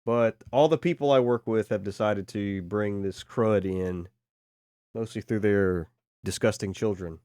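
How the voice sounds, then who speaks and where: A man hosting a podcast while getting over a cold, sounding hoarse and making self-deprecating jokes in a casual chat. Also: lowish voice, unhurried, a little mellow, no echo, studio quality. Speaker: podcast host.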